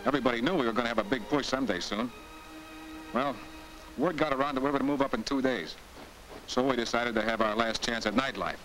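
An actor playing the regretful ghost of an American soldier in a plain-spoken 1940s film monologue. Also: male voice, mid-range voice, fast, a bit bright, natural voice, low-quality recording. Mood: rueful. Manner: plain-spoken, rueful, confessional. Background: music.

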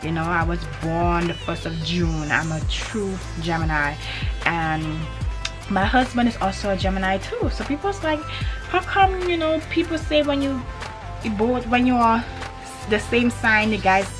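A woman's voice: mid-range voice, lively intonation, normal speed, music in the background. A young woman from Grenada with a Grenadian accent, chatting animatedly to the camera in a vlog and repeating her points for emphasis. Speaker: vlogger. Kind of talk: casual to-camera chat. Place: indoors in front of a hanging cloth backdrop. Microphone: camera in front at head-and-shoulders framing.

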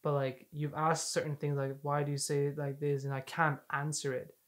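A man's voice: on the high side, monotone, normal speed. Young man in casual chat. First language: Swedish. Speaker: vlogger. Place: living room, indoors.

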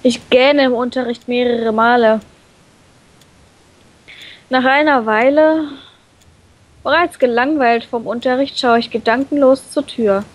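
High-pitched woman reading aloud, playful. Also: unhurried, pauses often, muffled recording. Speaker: reader of a visual novel. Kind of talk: expressive let's play read-aloud.

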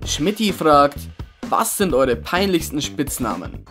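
A man's voice: on the high side, very animated, slow pace, fairly bright tone, faint music behind. A man of 26 playfully hosting a Q&A video and reading out viewer questions, with a tongue-in-cheek manner. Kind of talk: upbeat scripted Q&A video presenting.

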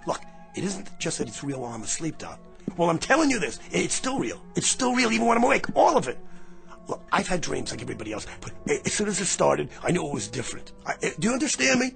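An actor playing a brash, fast-talking man who claims to be going back in time, with a pushy, street-smart manner in a radio drama. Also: fairly high pitch, wildly swooping pitch, creaky, fairly bright tone, exaggerated voice. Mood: insistent. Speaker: lead character. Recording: radio play with music.

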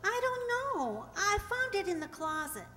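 A woman's voice: very high voice, very animated, a little slow, pushed and bright, a little hiss or hum, full character voice. A woman playing an innocent, chirpy ten-year-old farm girl in an old-time radio drama, childlike and guileless, on a hall stage. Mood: innocent, curious. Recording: echoey hall stage recording.

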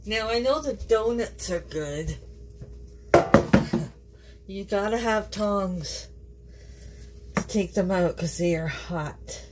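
A woman's voice: mid-range voice, takes it slowly, long pauses, very noisy. A woman from Canada hosting a casual home cooking vlog in her kitchen, chatting to viewers and laughing often. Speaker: home cook, channel host.

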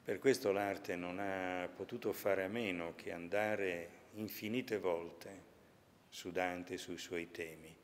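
An older man explains things in an interview, speaking in measured, halting short phrases. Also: low-pitched, steady tone. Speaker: exhibition spokesman. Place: large museum sculpture gallery, indoors. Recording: reverberant gallery hall, audible background noise.

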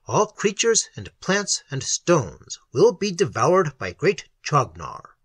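A man voicing a tormented, trembling male explorer, halting and anguished, in a horror audiobook. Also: medium pitch, a bit bright, breathy edge, dry recording, exaggerated voice. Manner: halting, moaning and sobbing, anguished. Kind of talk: dramatic horror audiobook acting.

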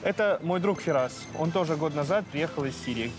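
A man's voice: fairly high pitch, expressive, a little fast, over music. A young man in his twenties from Syria hosting the segment, presenting and interviewing in an upbeat way outdoors on a campus street. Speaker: host.

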